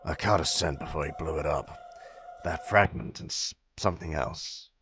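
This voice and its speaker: An actor playing a gruff, blunt veteran mutant tracker in a comic dub, speaking in terse, clipped sentences. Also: male voice, very deep voice, normal speed, noisy background. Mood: grim, certain. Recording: background music under the voice.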